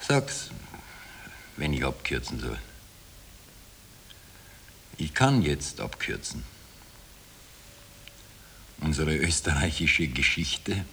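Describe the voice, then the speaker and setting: A man's voice: low voice, fairly bright tone, husky, full character voice. An actor playing an Austrian former political prisoner, telling his story with wry irony in a radio play. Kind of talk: 1960s radio play narration. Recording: slightly hissy old tape.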